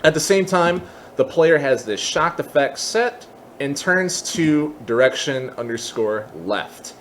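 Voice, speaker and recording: A man giving an unscripted software tutorial, explaining casually step by step and thinking aloud as he goes. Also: on the high side, expressive, takes it slowly, a little hiss or hum. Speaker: tutorial presenter.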